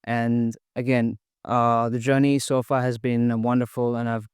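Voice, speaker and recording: A young man in his twenties from India, a podcast guest talking casually with many pauses, fillers and restarts. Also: medium pitch, little pitch movement, clean tone, dry recording, studio quality. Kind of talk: casual interview, sharing personal story.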